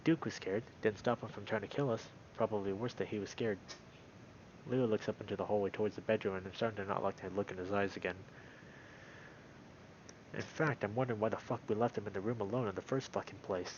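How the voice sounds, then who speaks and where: A man reading a visual novel aloud as a let's-play host, in an expressive, dramatic storytelling style. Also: lowish voice, quick pace, muffled recording, a little hiss or hum. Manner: dramatic, with loud reactions. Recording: music behind the voice. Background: game music.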